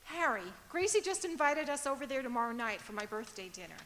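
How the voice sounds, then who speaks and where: A woman playing a wry, sharp-tongued married woman in an old-time radio comedy, with emphatic theatrical delivery on an auditorium stage. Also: sits high, very animated, fast, slight background noise, exaggerated voice. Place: stage of a performing arts auditorium. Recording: echoey auditorium with long reverb.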